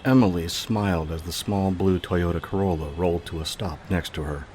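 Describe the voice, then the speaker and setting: Very deep male story narrator. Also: moderate pace, a little mellow, a little hiss or hum. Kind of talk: scripted third-person story narration.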